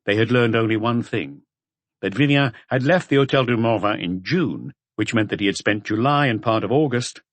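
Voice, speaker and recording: A man's voice: medium pitch, normal speed, dry recording. A man narrating a mystery audiobook from a script.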